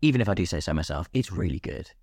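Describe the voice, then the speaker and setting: A man with a low voice talks as a guest in a casual interview. He explains things in an animated way, slipping into imagined quotes. The sound is dry, with almost no room in it. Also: rapid-fire, breathy edge, dry recording. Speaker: guest, radio drama actor.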